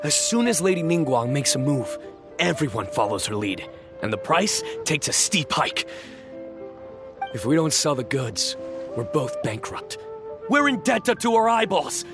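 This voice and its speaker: A man's voice: a little high, big pitch swings, a little slow, music in the background. A man playing an anxious, down-on-his-luck small-time merchant in a video game, earnest and flustered as he speaks. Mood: desperate.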